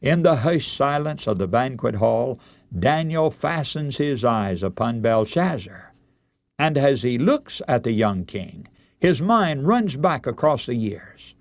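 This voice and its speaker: A man preaching a radio sermon, telling a Bible story in solemn, deliberate oratory with dramatic emphasis. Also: medium pitch, big pitch swings, dry recording.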